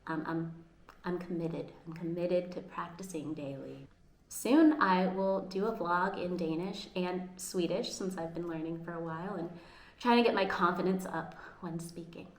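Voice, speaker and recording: A woman's voice: lowish voice, fairly even intonation, moderate pace. An American woman vlogging casually at home, earnest and upbeat, sometimes restarting her sentences. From United States. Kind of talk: casual vlog talk. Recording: echoey room with faint ambience.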